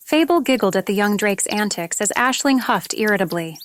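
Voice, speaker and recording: A woman's voice: mid-range voice, expressive, brisk. A woman narrating a fantasy story from a script, as an audiobook reader. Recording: noticeable background noise with clicks, insect-like sounds.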